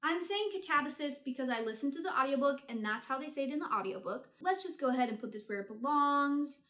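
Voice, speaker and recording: A woman's voice: high-pitched, lively intonation, quick pace, a bit bright. A woman giving a casual, chatty book review with blunt, hyperbolic opinions and slangy phrasing. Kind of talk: casual chatty ranking of books read. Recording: roomy, echoey sound.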